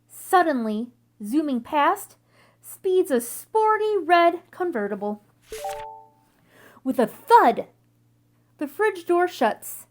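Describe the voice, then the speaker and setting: A woman reading a children's picture book aloud in an expressive, deliberate storytelling manner. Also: extremely high pitch.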